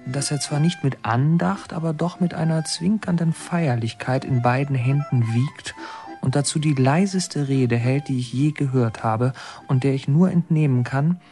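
Radio play narrator, male actor as policeman's watchful son, plain measured storytelling, mid-pitched. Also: dark tone, faint music behind, close to an everyday voice. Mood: observant, measured. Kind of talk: scripted radio drama narration, present tense.

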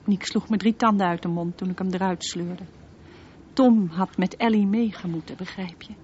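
A woman's voice: lowish voice, fairly even intonation, moderate pace, a little mellow, lightly acted. An actress playing a haunted mother confiding memories of her dangerous son in a radio drama monologue. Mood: grave, anguished. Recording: muffled radio play recording, slight noise.

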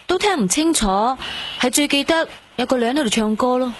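A woman's voice: sits high, animated, brisk, slight background noise. An actress in a radio drama playing a curious, chatty young female patient with a Hong Kong accent and a colloquial manner. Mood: earnest, puzzled. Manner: inquisitive, colloquial. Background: prominent music.

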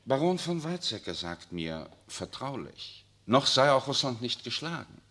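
An actor playing an urbane, worldly papal nuncio in a 1960s radio drama, speaking with a suave, ironic, lecturing manner. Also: male voice, mid-range voice, big pitch swings, unhurried, a bit bright.

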